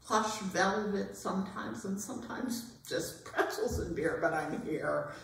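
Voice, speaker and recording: A woman playing a resilient, wry, aging has-been burlesque star, reciting dramatically and deliberately on stage. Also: medium pitch, gravelly. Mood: defiant, wistful. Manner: dramatic, deliberate, defiant delivery. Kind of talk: theatrical recitation of song lyrics, readers theatre. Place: performance hall at an arts center. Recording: very echoey hall, distant mic.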